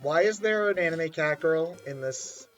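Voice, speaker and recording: Bright-voiced man bantering over games. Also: a little high, normal speed, highs cut off, faint music behind. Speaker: commentator on a game playthrough. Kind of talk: casual group banter commentary.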